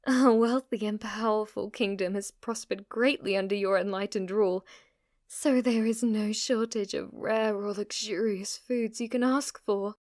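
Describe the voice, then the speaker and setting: A woman's voice: mid-range voice, steady tone, measured pace, a little mellow, dry recording, clean studio sound, natural voice. A woman playing an obsessively devoted, submissive maid in an ASMR roleplay, speaking formally, reverently and flirtatiously to her master. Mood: apologetic, eager servant.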